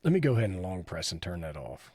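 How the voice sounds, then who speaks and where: A man's voice: deep voice, sing-song, fast, heavy background noise. A man giving a casual hands-on product review, explaining each step as he goes. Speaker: radio hobbyist reviewer, host.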